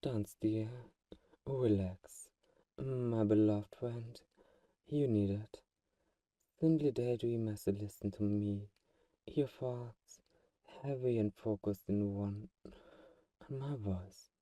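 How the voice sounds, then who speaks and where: A man's voice: on the low side, slow pace, long pauses, mellow, no echo, recorded from a distance. A man playing a tender, manipulative vampire friend in a hypnotic ASMR roleplay, coaxing and soothing with intimate endearments.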